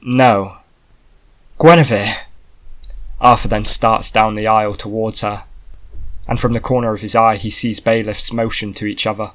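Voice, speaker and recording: A man's voice: fairly low pitch, flat delivery, moderate pace, a bit bright. A man narrating a scripted fantasy audiobook in a dramatic storytelling style.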